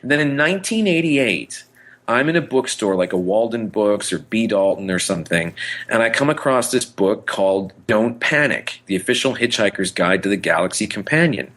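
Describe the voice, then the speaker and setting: A man's voice: lowish voice, animated, moderate pace, a bit bright. Rambling, enthusiastic man chatting casually. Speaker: podcast co-host. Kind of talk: casual fan chat.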